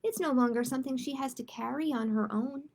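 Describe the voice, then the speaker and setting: Lady doing library storytime, highish voice w a bit of creak, reads kids books w expression n chats in between. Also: brisk. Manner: expressive, simple explaining with check-in questions. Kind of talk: reading picture books aloud to children, with chatty asides.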